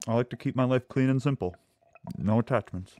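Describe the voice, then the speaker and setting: An actor playing a nervous, devoted lab assistant in an audio drama, speaking earnestly and with hesitant stammers. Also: male voice, medium pitch, a little fast, dark tone, no echo, lightly acted. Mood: awkward, earnest.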